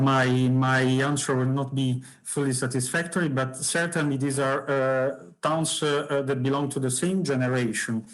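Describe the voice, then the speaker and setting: A man, a book author whose first language is Italian, answering audience questions in a halting academic way with many fillers and restarts. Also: mid-range voice, low-quality recording.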